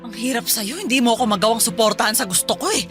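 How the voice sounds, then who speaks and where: A woman's voice: a little high, fast talker, faint music behind, lightly acted. A woman playing a hurt, probing wife. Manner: pleading, probing, accusing. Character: hurt wife confronting her husband. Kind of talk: Filipino radio drama acting.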